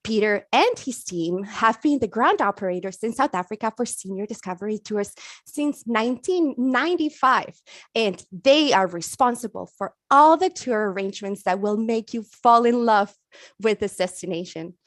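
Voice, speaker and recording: A woman's voice: fairly high pitch, animated, moderate pace, no room sound. A woman hosting a webinar, reading polished scripted announcements. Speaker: webinar host. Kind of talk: read announcement.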